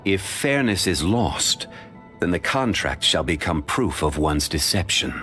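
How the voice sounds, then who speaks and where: An actor voicing a dignified, ancient, learned man in a video game, speaking in a measured, formal and courteous way. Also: low-pitched, very animated, a bit bright, faint music behind, full character voice. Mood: calm, formal.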